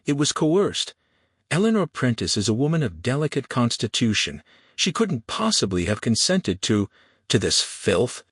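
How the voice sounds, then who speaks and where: A man's voice: mid-range voice, big pitch swings, moderate pace, slightly rough, dry recording, studio quality, voice pushed up. A man voicing a sneering, pompous, cowardly shopkeeper in an Old West audiobook, indignant and flustered.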